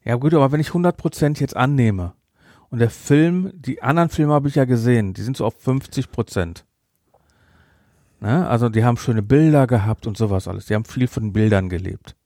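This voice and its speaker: A male podcast host chats through a review in a relaxed, dark voice with plenty of fry. He speaks bluntly and haltingly, and the sound is dry and close. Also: medium pitch, no room sound. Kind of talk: casual review chat.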